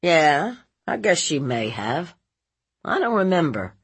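A woman's voice: low voice, sing-song, slow pace, breathy edge, pitched above the performer's own voice, heavily acted. A woman voicing a sullen, evasive seventeen-year-old boy in a story, giving short, defensive answers.

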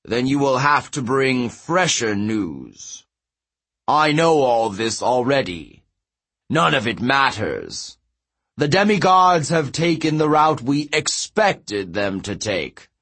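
A man's voice: medium pitch, sing-song, slow pace, a bit bright, full character voice. A man voicing a pompous, commanding giant king in a growling fantasy audiobook voice. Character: imperious giant king, booming, scornful, commanding.

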